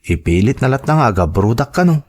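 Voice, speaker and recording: An actor playing a troubled, reluctant young son who pleads, in an audio drama. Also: male voice, medium pitch, big pitch swings, fast, darkish tone. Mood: troubled, apologetic.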